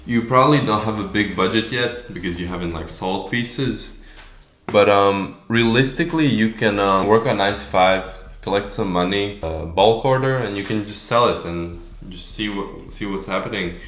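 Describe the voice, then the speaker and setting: A man's voice: fairly low pitch, normal speed, a bit bright. A twenty-year-old man from Latvia, a native Latvian speaker with a Latvian accent, talking casually and informally in a Q&A. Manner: informal, slangy. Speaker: clothing brand founder. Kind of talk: casual Q&A chat. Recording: echoey room.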